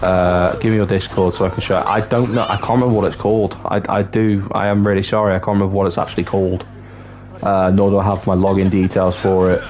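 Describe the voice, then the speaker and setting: A young man in his twenties from North Wales chats casually with viewers on a livestream, halting and restarting often. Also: deep voice, little pitch movement, a little mellow. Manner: chatty, halting, with many restarts. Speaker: streamer. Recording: game music clearly behind the voice. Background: game music.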